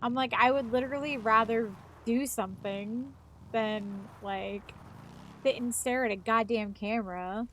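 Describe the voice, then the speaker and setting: A woman's voice: fairly high pitch, fairly even intonation, measured pace, a bit bright, slight background noise. A woman in her thirties, a live streamer chatting casually, rambling and swearing freely. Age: 30s. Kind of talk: casual chat.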